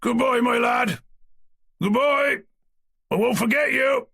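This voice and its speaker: A man voicing an emotional, boisterous old male Cossack hunter in an audiobook, loud and sentimental with a raspy voice. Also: sits high, big pitch swings, unhurried, no room sound, studio quality, voice pushed up, heavily acted. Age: older. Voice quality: gravelly, raspy. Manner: loud, lurching, sentimental outbursts. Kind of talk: audiobook character voice.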